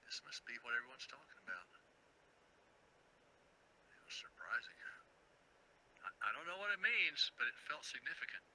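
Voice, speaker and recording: Frazzled radio producer, bright voice. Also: male voice, mid-range voice, big pitch swings, normal speed, long pauses, slight background noise, rough recording. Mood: awed, hushed. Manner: agitated, rambling, exasperated. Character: frazzled, fretful radio station producer, flustered and searching. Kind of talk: video game voice acting.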